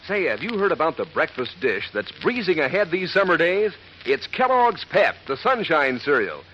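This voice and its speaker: A man delivering a 1940s radio advertisement as a pitchman, folksy and enthusiastic, savoring his slangy words. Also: very animated, moderate pace, pushed and bright. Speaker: commercial pitchman. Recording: noisy, narrow-band old radio recording.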